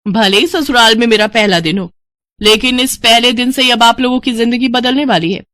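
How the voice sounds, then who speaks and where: A woman's voice: a little high, normal speed, a bit bright, dry recording, studio quality, lightly acted. A woman voicing an arrogant, defiant young modern bride with a sassy manner in a family cartoon. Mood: bossy, defiant. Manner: haughty, sassy. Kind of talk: Hindi family cartoon dialogue.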